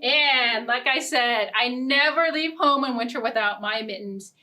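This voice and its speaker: A woman hosting a children's storytime, reading and talking to small children in a playful, expressive way. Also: a little high, unhurried, music in the background. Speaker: children's librarian, storytime host. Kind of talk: children's storytime with read-aloud story and songs.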